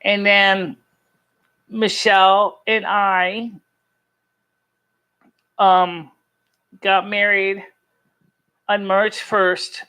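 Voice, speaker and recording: A live stream host chatting casually and telling personal stories in an earnest, halting, rambling way. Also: mid-range voice, little pitch movement, sharp tone, clear, resonant tone. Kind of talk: casual chat to a live chat audience, personal storytelling.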